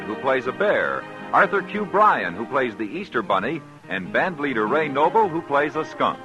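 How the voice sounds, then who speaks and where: Animated American man, vintage radio announcer. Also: medium pitch. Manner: formal, polished delivery. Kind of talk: 1950s network radio announcing. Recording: dull old radio broadcast recording.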